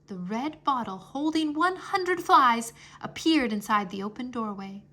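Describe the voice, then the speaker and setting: A woman reading a children's fantasy chapter book aloud as an expressive storyteller. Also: fairly high pitch, a little slow. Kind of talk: expressive scripted narration.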